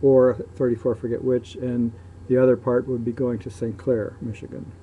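A man giving casual, off-the-cuff video commentary in a matter-of-fact way, often pausing between phrases. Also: mid-range voice, flat delivery. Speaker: local shipping news publisher filming ships. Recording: muffled dark sound, clear background noise.

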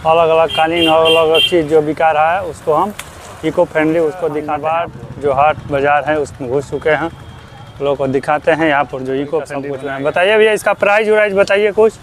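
A man's voice: fairly high pitch, fairly even intonation, brisk. Young man touring a craft fair. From Bihar, India. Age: young adult. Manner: repetitive, asks vendors prices loudly. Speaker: vlogger. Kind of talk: walking market vlog narration. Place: outdoor crafts fair among stalls. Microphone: clip-on lapel mic.

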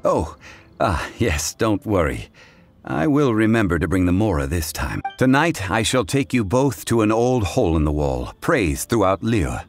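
An actor playing a refined, composed gentleman scholar in a video game, speaking formally with eloquent, measured courtesy. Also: on the low side, sing-song, soft background music. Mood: courteous.

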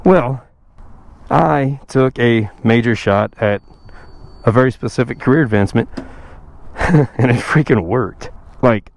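A man chatting casually while riding his motorcycle, his voice a bit rough from recovering after losing it. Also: mid-range voice, slow pace, pauses often. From Texas. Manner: chatty, self-joking, sighs and laughs. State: recovering from lost voice. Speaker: motovlogger. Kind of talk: casual motorcycle vlog chat. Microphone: helmet-mounted action camera mic. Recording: outdoor wind and road noise.

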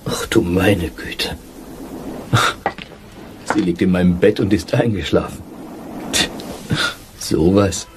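A man acting a rough but kindly, slightly awkward wartime soldier in a radio drama, speaking in blunt colloquial style. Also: low voice, steady tone, slow, pauses often. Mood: shy, tender. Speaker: actor in a radio crime drama. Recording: very noisy old radio drama scene.

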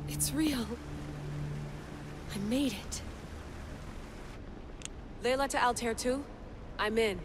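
A woman's voice: a little high, takes it slowly, long pauses, noisy background. An actress playing a curious modern-day female explorer in a video game, muttering thoughts to herself. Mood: musing. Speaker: game character.

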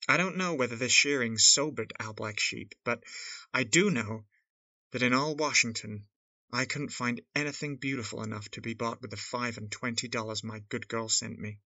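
A man playing a gentle, loving father praising his daughters after returning home, in an audio drama. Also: mid-range voice, no echo, muffled recording. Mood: tender. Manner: warm, measured praise. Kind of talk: radio drama acting.

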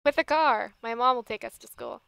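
A woman's voice: fairly high pitch, fairly quick, vocal fry, a bit bright, clear, resonant tone, no room sound. A woman voicing a glum, reluctant young teenage girl in a comic fandub. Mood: matter-of-fact.

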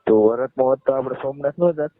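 A man's voice: mid-range voice, fast talker, no echo. Gujarati man on a tinny phone line. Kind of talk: casual intimate phone chat. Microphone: phone call.

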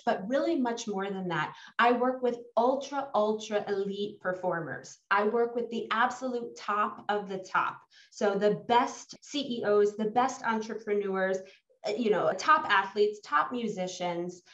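A woman with a New York American accent, an executive coach presenting a webinar in an emphatic, self-assured way. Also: mid-range voice. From New York, United States. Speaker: executive coach leading a workshop. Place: indoor room on a video call. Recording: dull, roomy, distant webinar audio.

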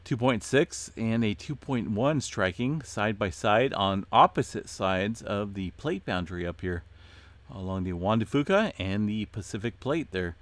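A man hosting an earthquake update, talking casually through his screen with many fillers and a voice going hoarse. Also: fairly low pitch, measured pace, no echo. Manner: relaxed, many "uh" fillers, addresses viewers as folks. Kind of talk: casual screen-share commentary.

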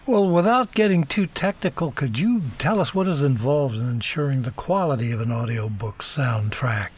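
A man's voice: medium pitch, animated, normal speed, breathy edge. A man co-hosting a radio show, asking short interview questions. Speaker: radio co-host.

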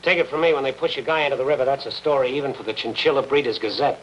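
A man's voice: mid-range voice, fairly even intonation, rapid-fire, fairly bright tone, highs cut off, natural voice. A middle-aged American man playing a hard-boiled newspaper reporter in a 1950s TV drama, terse, blunt and sardonic. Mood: cold, sardonic. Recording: noisy old 1950s television soundtrack.

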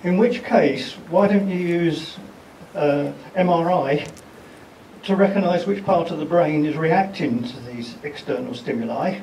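A man's voice: fairly high pitch, measured pace, darkish tone, slight background noise. A man in the audience asking a pointed, insistent question. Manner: challenging, insistent. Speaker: audience member. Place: lecture theatre audience seats. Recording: echoey hall, far from the mic.

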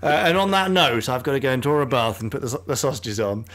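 A man's voice: mid-range voice, lively intonation, fast talker, fairly bright tone. A man hosting a podcast in casual chat, joking and laughing often. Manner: chatty, jokey, laughs often. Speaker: podcast host.

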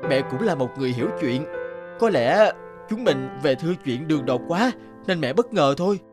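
A man playing an earnest young villager, a devoted son and suitor, speaking respectfully in an animated folk tale. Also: fairly high pitch, normal speed, music in the background, close to an everyday voice. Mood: gently reassuring. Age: young adult.

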